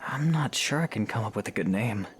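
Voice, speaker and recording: An actor playing a reserved, awkward young male hero in a fan audio drama, speaking in a halting, sincere way. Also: on the low side, expressive, breathy edge. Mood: reluctant, defensive. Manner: hesitant, soft-edged, halting.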